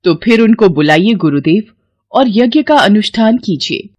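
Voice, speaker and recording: A woman's voice: mid-range voice, expressive, moderate pace, darkish tone, natural voice. A woman voicing an eager, affectionate queen in a devotional cartoon, speaking formally and courteously. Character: eager, affectionate young queen of a mythological king. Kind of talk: Hindi devotional cartoon dub.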